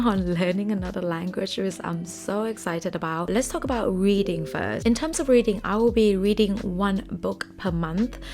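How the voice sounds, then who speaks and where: A woman's voice: medium pitch, creaky, a little mellow. A young woman whose first language is Thai, talking earnestly and chattily to camera about her plans, with small slips. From Thailand. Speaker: finance vlogger. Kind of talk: casual talk to camera.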